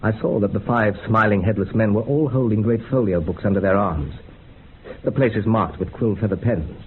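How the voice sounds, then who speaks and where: A man's voice: on the low side, moderate pace, close to an everyday voice. An actor playing an unhinged man confessing a grisly occult experiment in a radio horror drama, articulate and feverish, on a muffled recording. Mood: eerie relish. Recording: muffled phone-like sound with faint hiss.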